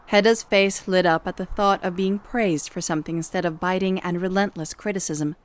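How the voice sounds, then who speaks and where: A woman's voice: lowish voice, fairly even intonation, fairly quick. A woman reading a scripted story as the narrator of an audio drama. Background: music.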